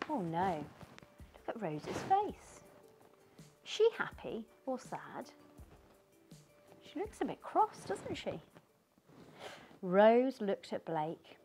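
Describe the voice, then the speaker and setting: A middle-aged British woman reads a children's picture book aloud, slow and unhurried, her voice rising and falling in a sing-song way. Her tone is mellow and gentle, and she pauses to ask her young listeners questions. Faint music plays behind her. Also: mid-range voice, wildly swooping pitch. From United Kingdom. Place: living room by a fireplace.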